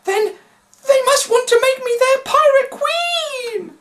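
A self-important, whiny woman captive, acted out by a man in a children's storybook. The voice is a very high, shrill falsetto. It wails and pleads with comic desperation. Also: expressive, slow pace, fairly bright tone, full character voice. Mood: panicked wailing. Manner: wailing, pleading.

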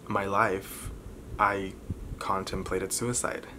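A man in his twenties talking candidly to camera as a vlogger, halting and pausing often between short phrases. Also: sits low, level tone. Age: 20s. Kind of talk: candid personal talk to camera. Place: bedroom, indoors. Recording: clearly noisy room recording.

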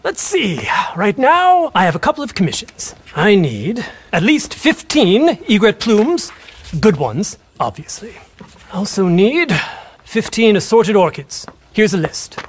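A man's voice: high-pitched, sing-song, measured pace, breathy edge, heavily acted. A male actor playing a flamboyant, effusive art dealer in a video game, with a theatrical, fussy, pompous manner. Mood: businesslike, gracious. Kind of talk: video game character acting.

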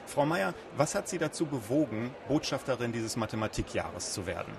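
A man's voice: medium pitch, sing-song, brisk, vocal fry. A man working as an interviewer, introducing guests and putting questions to them in a prepared, formal way. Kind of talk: broadcast-style interview questions. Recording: noisy event ambience with traffic.

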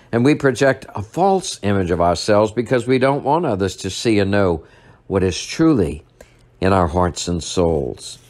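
An older man, a priest, delivering a sermon at a church lectern, retelling a story in a measured way and slowing down for emphasis. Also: on the low side, a little mellow.

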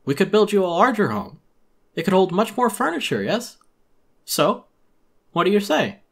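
A man's voice: high voice, sing-song, moderate pace, gravelly, husky, pitched above the performer's own voice, heavily acted. A man voicing a pushy, falsely friendly raccoon shopkeeper in a dramatic reading, wheedling and unctuous. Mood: smarmy, welcoming. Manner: unctuous, wheedling, tacked-on questioning tags. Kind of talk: dramatic storybook reading of a video game character.

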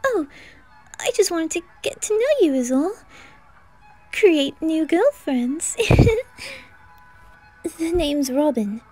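A woman's voice: high-pitched, expressive, slow, pauses often, mellow, soft background music, low-quality recording. A woman voicing a boy disguised as a bubbly, sweet girl, chirpy and giggly. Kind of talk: ASMR roleplay fan audio drama.

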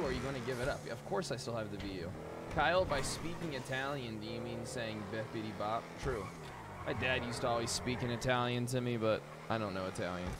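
A man streaming a role-play game, chatting casually with profane, teasing banter. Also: medium pitch. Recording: game car and street noise.

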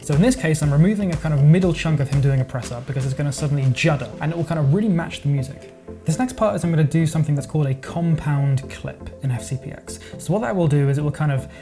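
A man's voice: on the high side, quick pace. Casual man explaining editing steps. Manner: casual, explanatory, thinks aloud with verbal sound effects. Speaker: video editing tutor. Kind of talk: screen-recorded software tutorial narration. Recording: voice-over with music playing underneath.

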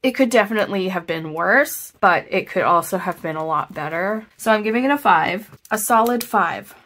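A woman vlogging as she reviews her planner, chatty and self-deprecating, thinking aloud, her voice slightly hoarse after being ill. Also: mid-range voice, lively intonation, normal speed, fairly bright tone. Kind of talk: casual chat, plan with me.

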